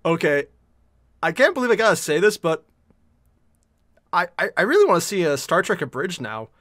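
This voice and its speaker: A man in his twenties giving casual reaction commentary in an excitable, rambling way, stammering as he goes, at home. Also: sits high, normal speed, dry recording. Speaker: reaction video host. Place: small furnished room at home, indoors.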